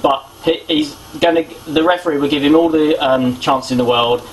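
A man's voice: a little high, moderate pace. British young man, noisy homemade recording. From Britain. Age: young adult. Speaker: boxing vlogger. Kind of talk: casual opinion commentary on sport. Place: small domestic kitchen indoors. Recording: clear background noise, homemade camera audio.

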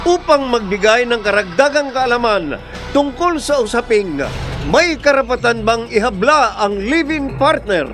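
A man's voice: very high-pitched, animated, unhurried. A man with a Filipino accent announcing a radio drama in a formal, scripted style. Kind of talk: scripted radio narration with credits. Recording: loud music bed behind voice. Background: music.

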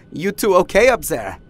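An actor playing a gentle, apologetic male mutant devoted to family, speaking in slightly formal phrasing. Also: high voice, heavily acted. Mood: caring.

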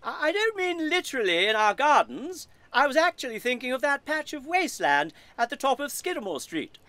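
An earnest British male neighbour acted by a woman in an audio comedy play. The voice is high and very animated in pitch. He is polite, mild-mannered and a little nosy. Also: brisk, dry recording, full character voice.

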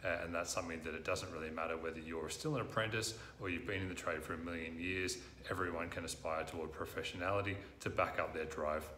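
An Australian man in his thirties, speaking earnestly to camera as a recruitment presenter, often pausing with "uh". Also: low voice, steady tone, sharp tone, slight background noise. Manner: earnest, frequent "uh" fillers. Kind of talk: direct-to-camera talk. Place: office room, indoors. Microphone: lapel mic. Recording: roomy office echo.

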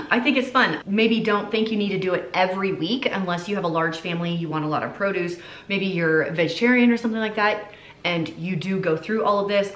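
A woman in her thirties giving a casual, candid review at home, chatty and quick to laugh at herself, filmed by a camera on the counter in her kitchen. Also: medium pitch. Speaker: vlogger. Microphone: camera on a counter at medium distance. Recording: echoey kitchen room.

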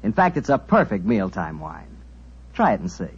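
A man hosting a 1940s radio program, delivering a friendly, persuasive wine pitch and questioning a guest. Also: huge pitch swings, normal speed, breathy edge. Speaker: program host and wine announcer. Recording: dull lo-fi old radio broadcast.

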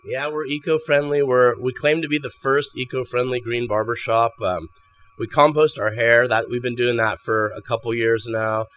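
A man's voice: mid-range voice, fairly even intonation, moderate pace, fairly bright tone, a little hiss or hum. A man, a barbershop owner chatting casually in an interview inside a small shop, often repeating words as he thinks. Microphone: handheld mic held by interviewer.

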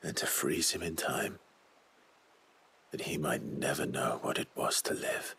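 An actor playing a grim, resolute fantasy game hero, low and gravelly, speaking in a brooding, measured way. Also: male voice, steady tone, noisy background, heavily acted. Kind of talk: dramatic video game acting.

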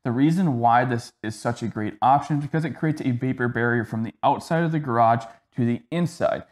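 A man's voice: medium pitch, brisk. A young man giving an informal explainer to camera as the host of a how-to video, recorded in a garage. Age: young adult. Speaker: host of a home gym tips video. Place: indoors in a garage converted to a gym.

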